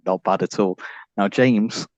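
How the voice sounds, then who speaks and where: A man's voice: fairly low pitch, unhurried, no echo. A man hosting a podcast, chatting in an informal, welcoming introduction style on a slightly muffled recording. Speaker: podcast host. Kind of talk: lively podcast introduction chat.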